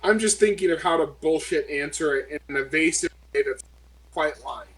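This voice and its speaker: A man's voice: a little high, normal speed, fairly bright tone, breathy edge, low-quality recording. A man playing a tabletop game, chatting casually in blunt, informal phrases.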